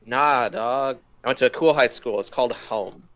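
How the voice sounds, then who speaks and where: A man's voice: mid-range voice, normal speed, a bit bright, muffled recording. A man co-hosting a podcast in casual, slangy, irreverent chat with some swearing. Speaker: podcast co-host.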